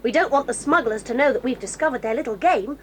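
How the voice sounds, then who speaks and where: A woman playing a bold, practical tomboy girl, brisk and matter-of-fact in a 1980s audio drama. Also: sits high, lively intonation. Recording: faint hiss of old cassette.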